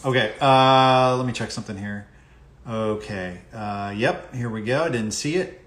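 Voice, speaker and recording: A man's voice: mid-range voice, unhurried, fairly bright tone, slight background noise. A middle-aged man hosting a casual live interview over a video call, talking in an informal, chummy way. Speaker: interview show host.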